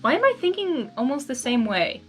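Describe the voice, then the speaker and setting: A woman with a high voice reads fan fiction aloud. She is informal and candid, slipping between narration and rambling personal commentary. Light music plays behind her. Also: very animated, brisk. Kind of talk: blind story reading with casual personal asides.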